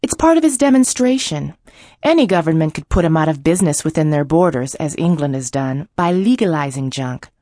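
An actress playing a poised, skeptical film star with a pert Cockney accent in dramatized audiobook dialogue. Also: female voice, deep voice, expressive, a little fast, mellow, no room sound, studio quality. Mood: earnest, explanatory. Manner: pert, challenging.

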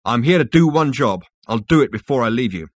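A man's voice: medium pitch, expressive, brisk, no room sound, voice pushed up, natural voice. A man voicing an earnest, insistent male galactic conspirator in an audiobook. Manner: emphatic, explanatory, insistent. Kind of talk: audiobook character dialogue.

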